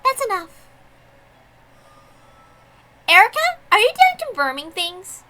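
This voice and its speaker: A woman's voice: very high-pitched, sing-song, takes it slowly, sharp tone, voice pushed up, exaggerated voice. A woman voicing a grand, imperious witch who presides over a duel, proclaiming pompously in a visual novel reading. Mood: commanding.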